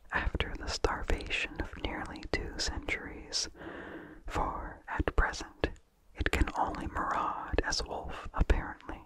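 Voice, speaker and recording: A person reading a vintage horror story as ASMR in an unvoiced whisper, slowly and deliberately, in short phrases with pauses. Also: fairly bright tone, rough recording. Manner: slow, deliberate, pausing between short phrases. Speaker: narrator.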